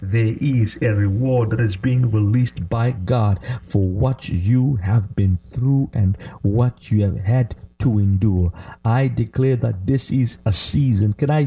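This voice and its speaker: A man's voice: medium pitch, vocal fry, dark tone, slightly rough. A man preaching a prophetic charismatic sermon, emphatic and exhorting, repeating phrases for effect and calling on listeners to respond. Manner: exhorting, declaratory, calls for responses.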